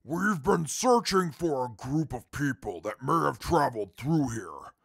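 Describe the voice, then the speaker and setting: A stern, disdainful companion who takes charge, acted by a man. The delivery is slow, curt and deadpan, deeply creaky and rough, in a dry audio-drama recording. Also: no room sound, heavily acted. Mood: businesslike. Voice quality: very gravelly, husky. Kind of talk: comic post-apocalyptic audio drama acting.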